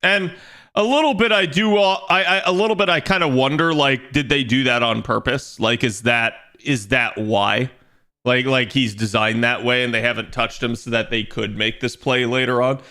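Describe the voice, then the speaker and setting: A young man chatting casually on his game stream, rambling and restarting as he thinks aloud, with a slightly raspy voice today. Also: medium pitch, fairly even intonation, normal speed, bright, forceful tone. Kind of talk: casual livestream chat.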